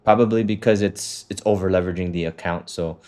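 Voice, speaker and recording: A man's voice: low-pitched, steady tone, quick pace, mellow. Laid-back man walking someone through setup. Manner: loose, filler-heavy, swears casually. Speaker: trading coach. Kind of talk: casual walkthrough on a call.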